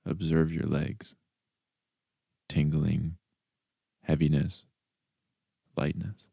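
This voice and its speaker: A man with an extremely low voice leads a guided meditation. His tone crackles with vocal fry, and he gives calm, measured instructions in short phrases. The sound is dry and close, with no room ring. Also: no echo. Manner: calm, deliberate, soft instructional delivery with pauses. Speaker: mindfulness coach.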